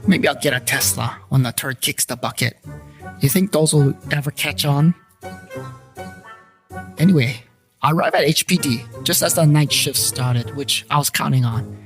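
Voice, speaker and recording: A man's voice: medium pitch, lightly acted. Male lead, actor as paranormal gumshoe: mid-range, wry scripted narration, colloquial and self-deprecating, light guitar underneath. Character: wisecracking male private paranormal investigator and ex-cop. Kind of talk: scripted first-person noir-comedy narration. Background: guitar music.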